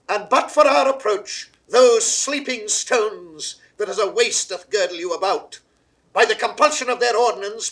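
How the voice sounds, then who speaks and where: A man's voice: high voice, a bit bright, low-quality recording. An actor playing an imperious, defiant English king in a classical Shakespearean audio drama, with grandiloquent old theatrical British delivery. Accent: British English, old theatrical received pronunciation. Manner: grandiloquent, sweeping oratory. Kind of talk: mid-century classical Shakespearean stage declamation. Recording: 1960s studio audio drama recording.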